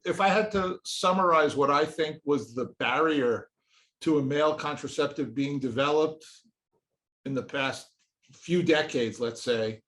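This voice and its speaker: A man's voice: fairly high pitch, measured pace, a bit bright. A man in his sixties, a professor, answering interview questions earnestly, often restarting his sentences as he searches for words, in an office. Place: indoor office with shelves and pictures.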